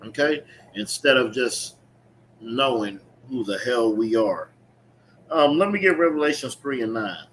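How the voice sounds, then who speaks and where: A man's voice: medium pitch, expressive, a little slow, fairly bright tone. Emphatic male preacher over noisy background. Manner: emphatic, repeats phrases and checks in with listeners. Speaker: preacher leading a scripture teaching. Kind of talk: street-preaching style sermon with call-and-response reading. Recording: clearly noisy recording with ambient background sound.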